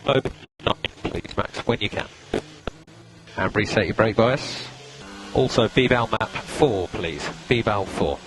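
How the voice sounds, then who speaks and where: Low-voiced man on noisy team radio. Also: slow pace. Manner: calm, procedural, repeats instructions. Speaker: race engineer on team radio. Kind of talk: clipped race instructions. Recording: noisy radio link, engine noise.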